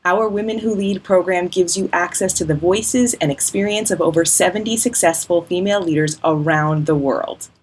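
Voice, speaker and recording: A woman host reading a scripted outro for an education program in a smooth, promotional way. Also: on the low side, moderate pace, few pauses, rough recording. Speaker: host and company founder.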